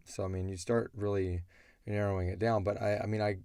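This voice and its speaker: A man's voice: on the low side, flat delivery, fairly quick, gravelly, warm tone, clear, resonant tone, no room sound, studio quality. A man answering listener questions as an audio drama creator, talking casually and bluntly as he thinks aloud.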